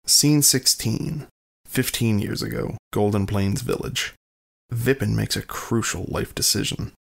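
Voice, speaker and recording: A man's voice: fairly low pitch, unhurried, creaky. A man reading scripted narration as the scene announcer of an audio drama.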